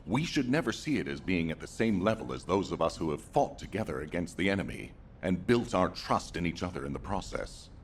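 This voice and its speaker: A wary veteran commander from a video game, with an extremely low voice that moves expressively. He speaks formally and with authority, over a faint noise. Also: big pitch swings, fairly quick, few pauses. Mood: stern, wary. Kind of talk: scripted video game dialogue.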